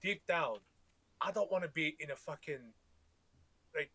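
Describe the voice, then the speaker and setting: A man's voice: on the high side, unhurried, bright, forceful tone, breathy edge, no room sound. A man whose first language is Tagalog, co-hosting a podcast in a rambling, casual way, often restarting and swearing freely. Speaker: podcast co-host. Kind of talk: casual chat.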